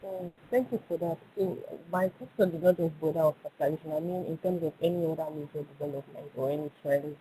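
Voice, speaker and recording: A man's voice: fairly high pitch, quick pace, hardly any pauses, dark tone, low-quality recording. A man asking spontaneous questions as an analyst on a conference call, over a slightly hissy line.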